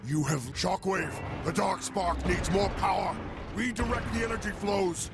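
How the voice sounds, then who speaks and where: An actor voicing a cruel robot warlord, speaking in a menacing, gloating, imperious way in a game. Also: male voice, high voice, moderate pace. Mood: triumphant. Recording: game audio under loud music.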